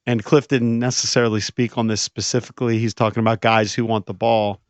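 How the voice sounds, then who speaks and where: A man's voice: medium pitch, flat delivery, brisk, no echo. A man co-hosting a sports radio show, reasoning through football numbers in casual talk. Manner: reasoned, asks questions, stats-driven.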